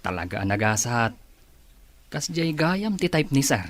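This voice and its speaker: A man's voice: mid-range voice, sing-song, a little slow, fairly bright tone. A man playing a gossipy office coworker in a radio drama, with an Ilocano accent. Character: gossipy office coworker speculating about the boss.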